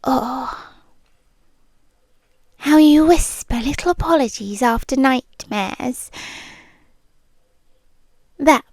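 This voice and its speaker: A British woman playing a possessive, lovesick stalker girlfriend in an intimate ASMR roleplay, breathy and often whispering, with lingering pauses. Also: high-pitched, a little mellow, no room sound, studio quality, heavily acted. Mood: coy, obsessive. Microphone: close microphone, dry.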